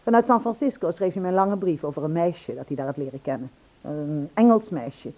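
An actress playing a vivacious, affectionate, inquisitive wife in a Dutch radio play, with a gushing, teasing manner. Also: female voice, fairly low pitch, lively intonation, brisk, mellow. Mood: astonished, insistent. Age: young adult. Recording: thin, phone-like old radio recording.

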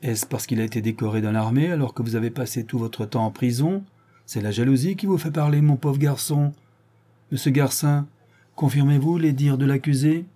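A man's voice: mid-range voice, normal speed, dark tone, pitched above the performer's own voice, close to an everyday voice. A man voicing a stern, condescending court president who lectures the accused. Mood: reproachful. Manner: lecturing, reproachful.

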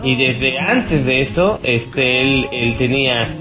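A man with a Mexican accent giving informal, opinionated political commentary as a radio host, rambling and halting, with blunt scorn. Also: mid-range voice, dull audio. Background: music.

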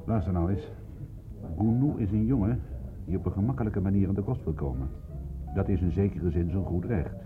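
Radio play inspector male actor: very deep, dry, sardonic, insistent; narrow, tinny old recording. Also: brisk, close to an everyday voice. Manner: terse, dry, insistent questioning. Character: dry, worldly-wise male police inspector, probing and sardonic. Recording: phone-like thin radio play recording with music.

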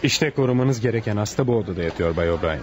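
An actor playing a calm, courteous, authoritative hospital doctor in a radio play. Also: male voice, on the low side, quick pace, music in the background, lightly acted. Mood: calm, official. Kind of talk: Turkish radio theatre acting. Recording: noisy old radio-play recording.

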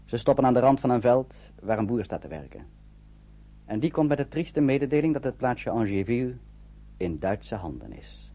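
A man narrating a radio drama in a measured, solemn storytelling manner. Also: mid-range voice, sing-song. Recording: tinny, narrow-band old radio recording.

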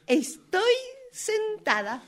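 Una mujer con acento rioplatense interpreta en un radioteatro aficionado a una visitante ingenua, escéptica y asustadiza, de manera exagerada y exclamativa. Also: female voice, extremely high pitch, big pitch swings, drawn-out words, exaggerated voice. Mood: amused, baffled. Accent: Rioplatense Argentine. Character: naive, skeptical, easily frightened woman visiting a museum. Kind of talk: amateur radio play rehearsal.

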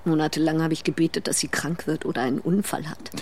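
A woman's voice: deep voice, steady tone, fast talker, slight background noise, close to an everyday voice. An actress playing a seductive, bold married woman in a radio drama, intimate and coaxing. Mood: longing. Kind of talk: German radio drama acting.

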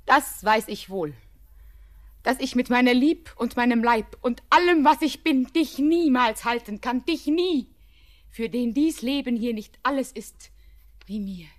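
An actress playing an anguished, devoted young bride in a classic German radio drama, with halting, impassioned poetic diction. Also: female voice, fairly high pitch, natural voice. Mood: anguished pleading. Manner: halting, breathy, impassioned declamation.

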